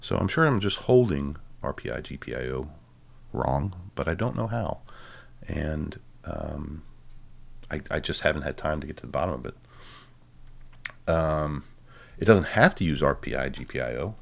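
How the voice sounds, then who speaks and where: A man giving a casual, halting tech demo of a hobby project, dryly informal and self-deprecating, with a gravelly voice. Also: rumbling low, animated. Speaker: presenter of a hobby electronics project.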